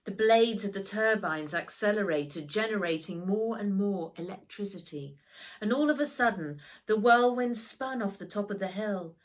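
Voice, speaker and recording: A woman reading a children's storybook aloud as its author, with animated, expressive delivery and dramatic emphasis. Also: medium pitch, moderate pace, low-quality recording.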